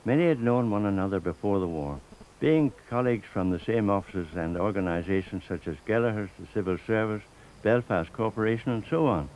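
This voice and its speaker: A man's voice: fairly low pitch, expressive, a little fast, a little mellow, slight background noise, low-quality recording. Older Northern Irish man narrating. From Northern Ireland. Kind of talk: scripted documentary narration.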